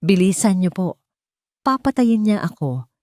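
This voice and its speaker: A woman's voice: mid-range voice, big pitch swings, measured pace, darkish tone, no room sound, clean studio sound. A woman narrator voicing a desperate young waitress who pleads urgently and politely for help in an audio story. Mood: urgent, fearful.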